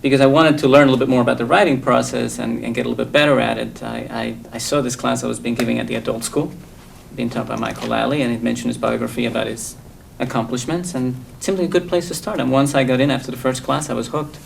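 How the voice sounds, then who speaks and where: A man from El Salvador with a deeply gravelly voice answers questions as a guest poet. He speaks thoughtfully and haltingly, over faint noise. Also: steady tone. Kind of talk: interview answers.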